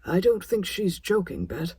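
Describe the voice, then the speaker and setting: A woman voicing a stern, proud, traditional middle-aged father in an audiobook, sharp and emphatic. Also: mid-range voice, very animated, a little slow, husky, no echo, exaggerated voice. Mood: grave.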